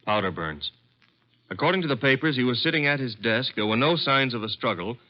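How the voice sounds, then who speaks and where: An actor playing an easygoing, wisecracking detective's assistant in a vintage radio drama, casual and matter-of-fact. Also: male voice, mid-range voice, expressive, brisk, lightly acted. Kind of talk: 1940s-50s radio drama acting. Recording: dull vintage radio recording.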